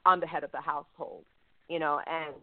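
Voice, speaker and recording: A woman's voice: deep voice, flat delivery, a little fast, dry recording. Chatty woman host on a phone line. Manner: chatty and affirming, heavy on "you know". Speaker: talk show host. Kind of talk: faith-based radio interview. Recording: tinny phone line, dry.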